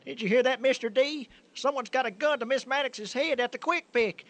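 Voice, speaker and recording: A flustered fellow. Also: male voice, very high-pitched, a little fast, fairly bright tone, slight background noise. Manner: stammering, flustered, folksy. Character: flustered small-town man, excitable and nervous. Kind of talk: old-time radio comedy acting.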